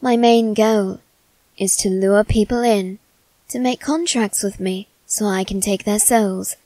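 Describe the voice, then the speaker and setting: A woman voicing a polite, coaxing young male demon in an ASMR roleplay aimed at the listener, speaking softly and courteously. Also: medium pitch, no echo, lightly acted. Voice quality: soft, measured and courteous.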